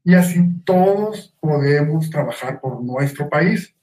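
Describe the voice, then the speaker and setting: A man's voice: fairly high pitch, animated, measured pace, a little mellow. A man with a Mexican accent speaking as an independent candidate, appealing directly to voters. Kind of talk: direct campaign appeal to camera. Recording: echoey, distant played-back video.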